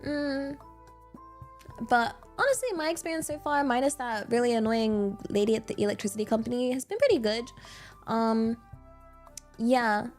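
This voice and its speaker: A young British woman streamer from England, chatting casually and thoughtfully with many pauses and fillers. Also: on the high side, darkish tone, soft background music. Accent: British English. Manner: reflective, hesitant, many fillers and restarts. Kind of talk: casual chat with live chat readers.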